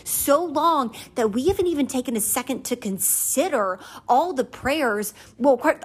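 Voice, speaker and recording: A woman in her twenties hosting a podcast, talking earnestly and confessionally about gratitude and faith. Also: on the high side. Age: 20s. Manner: earnest and confessional, voices her own doubts in a mocking lilt. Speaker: podcast host. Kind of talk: personal faith reflection monologue.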